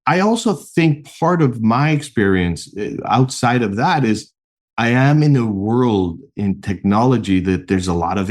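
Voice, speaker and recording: A middle-aged man from Mexico, a podcast guest and founder, explaining his work in an animated, earnest way with a few restarts. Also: lowish voice. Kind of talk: conversational interview answers.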